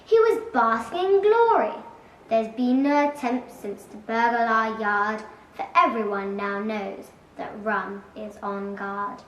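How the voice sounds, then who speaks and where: A woman's voice: fairly high pitch, measured pace. A school-age girl of about nine or ten giving a dramatic recitation of a comic poem. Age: child, about 9 or 10. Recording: echoey room, distant mic, faint noise.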